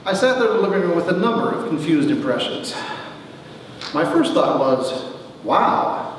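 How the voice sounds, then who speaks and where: A man reads a humorous memoir with wry timing and dramatic pauses. His fairly high voice swings in an animated way. He is picked up from a distance in a very echoey hall. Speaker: author reading his own story. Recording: echoey hall from far off, faint noise.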